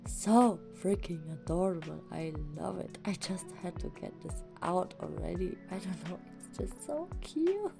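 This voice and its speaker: Gushing young woman, slightly hoarse. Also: fairly low pitch, lively intonation, unhurried, few pauses, music in the background. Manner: gushing, rambling, enthusiastic. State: slightly hoarse after illness. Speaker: manga vlogger. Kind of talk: casual book review chat.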